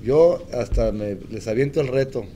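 A middle-aged man speaks defiantly and with emphasis at a press conference. His voice is mellow and dark, recorded in a small meeting room with faint noise behind. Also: medium pitch, moderate pace. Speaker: dissident party member at a press conference.

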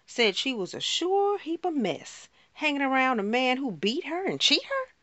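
A woman performing a spoken word poem in a rhythmic, rhyming delivery with dramatic pauses. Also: high-pitched, huge pitch swings, fairly bright tone, no echo.

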